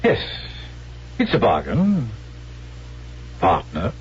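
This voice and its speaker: Sly, animated swindler. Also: male voice, lowish voice, extremely slow, husky, exaggerated voice. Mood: wary, sly. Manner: glib, chatty, falsely deferential. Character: sly, callous male swindler, genial and opportunistic. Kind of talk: 1970s radio drama acting. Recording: dull vintage radio recording, music behind.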